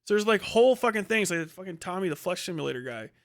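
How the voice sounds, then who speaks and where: A man's voice: high voice, animated, quick pace. A man hosting a livestream, ranting casually with strong opinions in a blunt, profane, dismissive manner. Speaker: livestream host.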